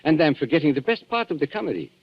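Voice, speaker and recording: An actor playing a suave, cynical antique dealer and blackmailer in a 1940s radio thriller, mock-courteous and theatrical. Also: male voice, on the high side, very animated, fast talker, slightly rough, full character voice. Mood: gloating. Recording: muffled, noisy vintage 1940s radio recording.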